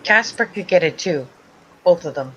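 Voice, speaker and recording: Low, lively woman with crude jokes. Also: moderate pace, a little hiss or hum, poor audio. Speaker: panelist on a live stream. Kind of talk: casual group chat.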